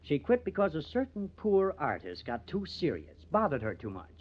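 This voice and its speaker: An actor in an old-time radio crime drama playing a bitter, sardonic newspaper artist who has turned murderer. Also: male voice, on the high side, huge pitch swings, normal speed. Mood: bitter, confessing. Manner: sardonic, menacing. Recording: muffled vintage radio recording.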